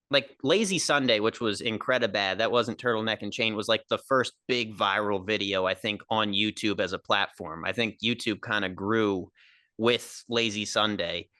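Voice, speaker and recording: A man's voice: medium pitch, sharp tone, no room sound, studio quality. A young man, a stand-up comedian, talking casually on a podcast in a nasal voice, rambling with lots of fillers. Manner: rambling, filler-heavy, laughs easily.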